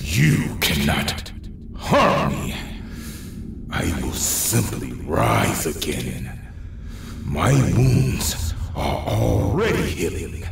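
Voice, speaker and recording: Slow, echoing, wrathful monster. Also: male voice, low-pitched, sing-song, gravelly, full character voice. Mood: menacing, slow. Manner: slow, grandiose, ominous pronouncements. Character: menacing unkillable male monster, slow and wrathful. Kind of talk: audio horror drama acting. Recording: noisy, heavily echoing, distant sound.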